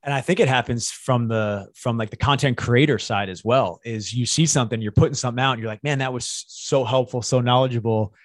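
A man's voice: mid-range voice, brisk, few pauses, dry recording, clean studio sound. A man hosting a podcast, asking questions in a casual, rambling, conversational way. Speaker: podcast host. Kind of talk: casual interview chat.

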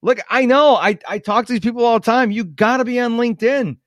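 A man from the United States hosting a podcast, chatting casually and telling animated stories with asides. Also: extremely high pitch, a little fast, dry recording, studio quality. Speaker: podcast host. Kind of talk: casual interview chat.

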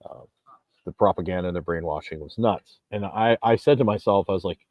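A man's voice: on the low side, no echo, highs cut off. A man in his forties talking casually in an emphatic, rambling monologue, recorded outdoors. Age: 40s. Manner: rambling, emphatic, frequent restarts. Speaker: vlogger and gym owner. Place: outdoors on a beach.

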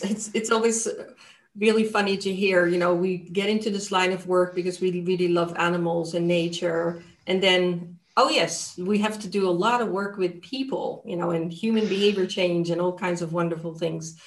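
A woman's voice: on the low side, steady tone, moderate pace. Enthusiastic woman podcast host. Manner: warm and enthusiastic, repeats wonderful. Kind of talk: podcast interview hosting.

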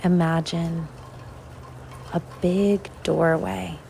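A woman leading a guided visualization in an unhurried, measured way, leaving long pauses between short phrases. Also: lowish voice, level tone, gravelly, mellow, a little hiss or hum. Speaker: guided meditation host.

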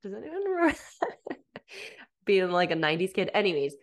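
A woman's voice: medium pitch, sing-song, normal speed. A woman in her thirties hosting a casual solo podcast, stuffed up from a cold, chatty and upbeat with lots of fillers. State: congested from a cold. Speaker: podcast host.

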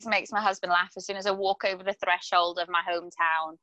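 A woman from England hosting a casual interview, friendly and teasing, quick to agree with her guest. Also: lowish voice, fairly even intonation, sharp tone, dry recording, dull audio. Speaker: podcast host.

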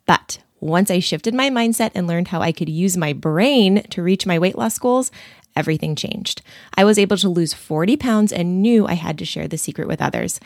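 Woman, scripted podcast intro, steady, polished, low voice, dry sound. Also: moderate pace, dry recording. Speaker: podcast host reading the intro.

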